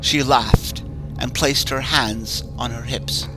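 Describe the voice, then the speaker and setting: A man narrating a horror story in a measured, ominous way, over background music. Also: medium pitch, steady tone, bright, forceful tone, low-quality recording. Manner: slow, measured, ominous delivery. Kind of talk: dramatic horror story reading. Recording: music bed under voice.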